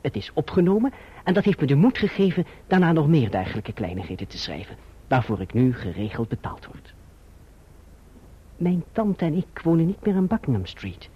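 Young gentleman in love, earnest and polite, animated delivery, husky tone, radio play. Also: mid-range voice. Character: eager, courteous young gentleman in love, reflective narrator. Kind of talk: radio drama acting and literary narration. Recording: muffled, dull old radio recording.

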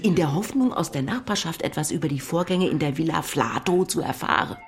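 An actress playing a storyteller who recounts a police case in a radio drama. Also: female voice, deep voice, lively intonation, brisk. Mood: matter-of-fact. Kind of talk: scripted radio drama narration.

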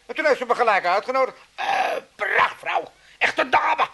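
A man's voice: very high voice, sing-song, normal speed, gravelly, bright, forceful tone, slightly rough, full character voice. An actor playing a cheeky, scheming old father with an Amsterdam accent, in a comedy radio play. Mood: smug. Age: older. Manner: brash, chuckling, teasing.

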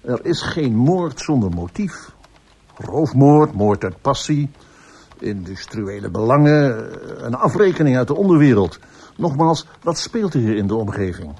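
A man's voice: mid-range voice, sing-song, unhurried, mellow, husky, heavily acted. A man playing a composed, probing police inspector in a radio drama, formal and methodical.

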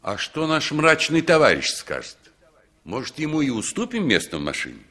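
A man's voice: fairly high pitch, huge pitch swings, normal speed, pushed and bright, exaggerated voice. An actor in a 1960s Soviet radio play as a sociable, good-natured male traveller, courteous and inquisitive. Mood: matter-of-fact.

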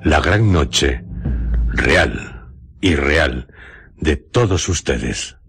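A man narrating radio drama solemnly and theatrically, in short phrases parted by long pauses. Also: rumbling low, expressive, a bit bright, breathy edge. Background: music behind the voice.

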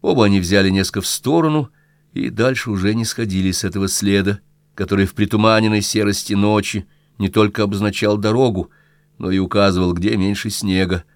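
Guy reading an audiobook novel, lowish voice, really dry recording no room sound. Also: steady tone, fairly quick, no room sound. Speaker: audiobook narrator. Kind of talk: scripted literary narration.

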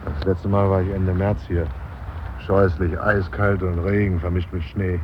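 Male mourner, radio drama: low, blunt, chatty and opinionated, natural delivery, muffled sound. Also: level tone, unhurried. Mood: grave, chatty. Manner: chatty, blunt, breaking off sentences. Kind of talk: naturalistic radio play dialogue. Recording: dull 1970s radio, faint outdoor noise.

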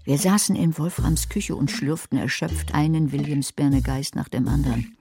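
A woman's voice: sits low, normal speed, warm tone, soft background music. An actress playing a sardonic, resentful woman who narrates her own crime story in a radio play, with a dry, biting manner. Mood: dry, wry. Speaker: narrator and lead character. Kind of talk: radio drama narration and dialogue.

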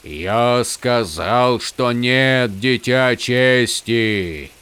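A man's voice: medium pitch, very slow, a bit bright, smooth. A man voicing an ancient, imperious storm god in a fantasy audiobook, making solemn, fateful pronouncements.

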